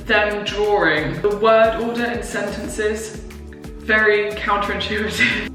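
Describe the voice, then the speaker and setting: A young woman vlogging, excitable and chatty, laughing often as she talks. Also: on the high side. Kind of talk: casual vlog chat. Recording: roomy echo with music behind.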